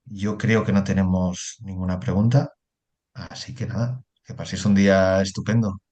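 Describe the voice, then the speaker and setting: A man moderating an online webinar, thanking the speakers in a friendly, relaxed way over a video call. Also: low voice, normal speed.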